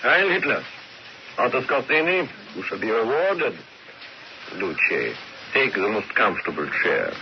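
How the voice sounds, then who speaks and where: An imperious German dictator in a radio drama. His lowish voice is authoritative and declamatory, with lively swings of pitch, on a noisy recording. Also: measured pace, pauses often, highs cut off. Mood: grandly approving. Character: imperious German dictator, grandly approving host.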